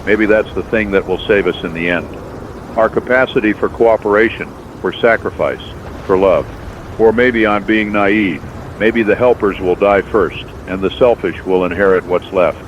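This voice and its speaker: A man narrating a scripted first-person horror story as a radio host, calm and reflective. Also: fairly low pitch, normal speed. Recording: dull lo-fi recording with faint noise.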